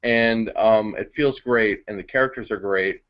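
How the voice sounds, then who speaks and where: A man's voice: fairly low pitch, unhurried, lo-fi sound. A young man casually reviewing films with friends in an informal, chatty way. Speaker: amateur film critic on a group review show.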